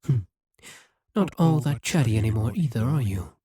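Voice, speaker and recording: A performer voicing a haughty, wry demon ruler in an ASMR roleplay, speaking softly and huskily in a slow, condescending, possessive way. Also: mid-range voice, animated, no room sound, studio quality. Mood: possessive, uneasy. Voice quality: soft, breathy, husky.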